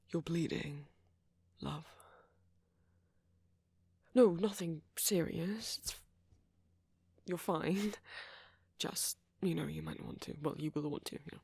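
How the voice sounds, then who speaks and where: A man acting a friendly, flirty, apologetic vampire in a soft ASMR roleplay, gentle and hesitant, with a husky voice that often drops toward a whisper. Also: fairly high pitch, slow pace, long pauses, no room sound, exaggerated voice. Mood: solicitous, sheepish. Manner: gentle, hesitant, teasing, with soft chuckles and endearments.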